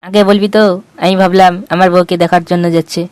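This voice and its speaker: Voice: mid-range voice, level tone, fast, a little mellow, slight background noise, lightly acted. An actor voicing a brash, suspicious, nosy village passer-by in a comedy cartoon.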